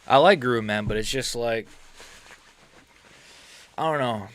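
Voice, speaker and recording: A man's voice: medium pitch, a little slow, fairly bright tone. A man in his early twenties from the United States, chatting casually on a live stream, rambling with lots of fillers and laughs. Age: 20s. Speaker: MMA YouTuber streaming live. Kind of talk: casual live-stream chat reading chat questions.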